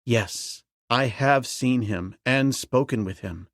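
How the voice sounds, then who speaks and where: A man's voice: mid-range voice, fairly bright tone, no room sound, studio quality. Man reading as a grave jungle hero. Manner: measured, grave.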